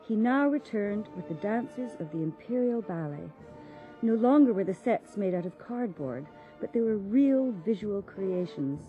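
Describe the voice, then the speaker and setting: A woman's voice: mid-range voice, lively intonation, dark tone. Woman narrating a documentary over music. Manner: measured, formal storytelling. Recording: dull lo-fi sound with faint music.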